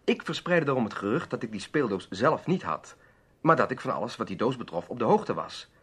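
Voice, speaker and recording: Husky, animated adventurer in a radio play. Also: male voice, medium pitch, fairly quick. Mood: confiding, composed. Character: easygoing, shrewd adventurer explaining his past schemes. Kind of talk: old Dutch radio drama acting.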